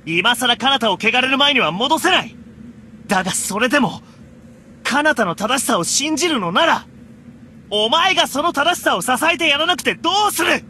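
Hot-blooded young knight, anime game, proud, stern, sharp, animated, urgent, declamatory. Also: male voice, extremely high pitch, normal speed, a little hiss or hum, exaggerated voice. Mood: stern exhortation. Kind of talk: Japanese anime game voice acting.

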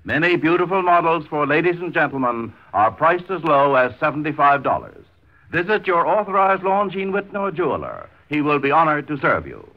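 A man's voice: a little high, big pitch swings, normal speed. A man with an American accent reading a scripted 1950s radio advertisement in a formal, polished manner. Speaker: commercial announcer. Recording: muffled 1950s radio recording.

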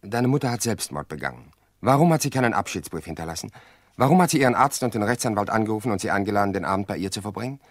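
An actor in a radio play voicing an insistent widower, probing and pressing for the truth. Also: male voice, on the low side, expressive, rapid-fire, dry recording, clean studio sound. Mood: insistent, accusing. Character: insistent grieving widower pressing his sister-in-law for the truth. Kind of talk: dramatic radio play acting.

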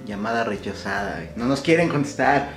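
A man's voice: lowish voice, lively intonation, fairly quick. Playful Mexican young man, roomy sound. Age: young adult. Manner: playful, slangy, repeats himself. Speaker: YouTube prankster host. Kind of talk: casual vlog chat to viewers. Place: small indoor room with shelves. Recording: roomy echo, light music behind.

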